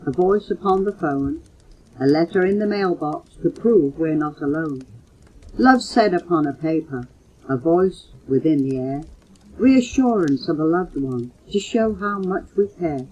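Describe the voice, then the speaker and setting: Slow, low-voiced older woman reciting poetry. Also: warm tone, breathy edge. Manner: measured, earnest recitation, announcing titles and authors. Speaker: mother reading poems for her children. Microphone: home cassette recorder. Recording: muffled old home audio cassette.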